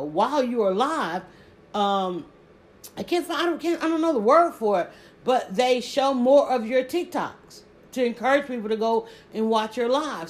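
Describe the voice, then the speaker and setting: A woman with a Southern accent chatting casually on a live stream, talking quickly and restarting her sentences often. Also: fairly high pitch. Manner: rapid, stumbling restarts, animated emphasis. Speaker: social media creator.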